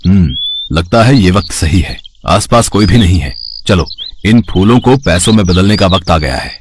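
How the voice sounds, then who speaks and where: A man playing a lazy, greedy village flower seller, theatrical and excitable with a husky voice, in a children's moral story. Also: sits low, moderate pace, background noise. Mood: scheming, puzzled. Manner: theatrical, stammering when startled, exclaiming. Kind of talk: animated moral story dialogue.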